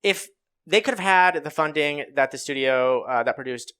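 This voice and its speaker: Guy hosting a casual group podcast, bright forceful voice on the higher side, informal. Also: normal speed, clear, resonant tone. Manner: informal, sometimes swearing. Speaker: podcast host. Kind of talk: casual panel podcast chat.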